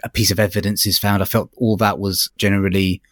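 A man's voice: on the low side, little pitch movement, fast, dry recording, studio quality. A man co-hosting a review podcast, speaking casually and tentatively as he searches for his words. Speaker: podcast co-host reviewing audiobooks.

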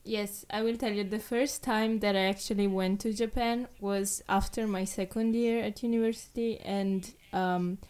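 A woman's voice: medium pitch, steady tone, normal speed, few pauses, darkish tone, clean tone. A young woman, hosting a casual chat and rambling with many fillers. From Europe.

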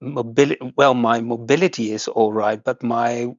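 A man's voice: medium pitch, steady tone, dry recording, lo-fi sound. Hesitant man from the Netherlands. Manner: hesitant, halting, frequent restarts and laughs. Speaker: guest host. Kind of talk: casual chat.

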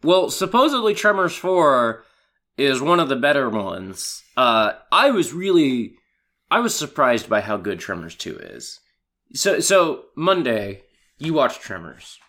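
A man with a hoarse voice from a cold, co-hosting a casual podcast chat and laughing as he talks. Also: mid-range voice, unhurried. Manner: animated, laughing, swearing. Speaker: podcast co-host.

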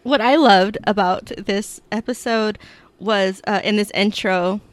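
A woman's voice: mid-range voice, fairly even intonation, unhurried. A woman co-hosting a podcast in casual chat, upbeat and teasing, with frequent restarts. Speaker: podcast co-host.

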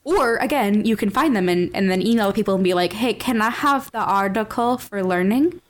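A woman's voice: mid-range voice, animated, a little fast. A woman co-hosting a podcast, giving advice in an informal, emphatic, conversational way. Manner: informal, emphatic, acts out example dialogue. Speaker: podcast co-host.

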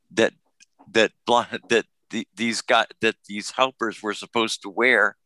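A man's voice: fairly low pitch, bright, forceful tone, no echo, low-quality recording. A man hosting a casual talk show, joking and restarting his sentences. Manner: jokey, stumbling restarts. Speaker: host.

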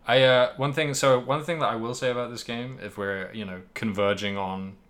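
A man's voice: mid-range voice, steady tone, normal speed, a bit bright. A man hosting a podcast, casually discussing video games in a rambling way, hedging and thinking aloud as he goes. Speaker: podcast host.

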